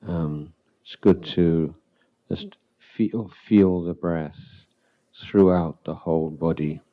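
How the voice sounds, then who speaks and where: A man teaching meditation as a monk, giving calm, unhurried guided instructions with long halting pauses. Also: low voice, narrow, tinny audio.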